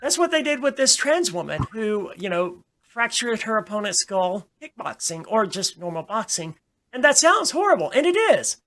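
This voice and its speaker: A woman's voice: fairly high pitch, a bit bright. A woman giving her opinions in a casual discussion, speaking emphatically and often restarting her phrases. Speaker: podcast host.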